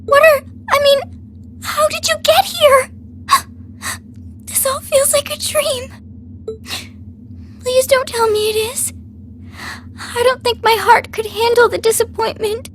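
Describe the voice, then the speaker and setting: A woman's voice: very high voice, steady tone, takes it slowly, pauses often, fairly bright tone, a little hiss or hum, full character voice. A woman playing a sweet, delicate young princess in a video game, with polite, formal, tender diction. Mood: overwhelmed, hopeful. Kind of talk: dramatic video game voice acting.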